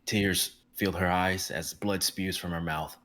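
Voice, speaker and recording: A young man narrating the stage directions of a dramatic reading in a steady, descriptive way. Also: deep voice, a little slow. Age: young adult.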